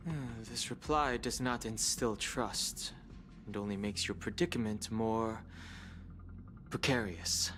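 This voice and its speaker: A stern, measured interrogator over music. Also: male voice, fairly low pitch, fairly bright tone. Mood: stern, questioning. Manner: measured, formal, distrustful. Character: stern, skeptical, formal male interrogator guarding his crew. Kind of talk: video game voice acting.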